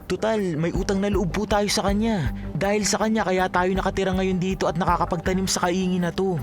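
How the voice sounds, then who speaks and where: A man's voice: sits high, steady tone, a little fast, over music, close to an everyday voice. A man playing a patient, respectful young farmer son with a Filipino accent, pleading and apologetic in a radio drama. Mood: gentle, conciliatory. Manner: polite, apologetic.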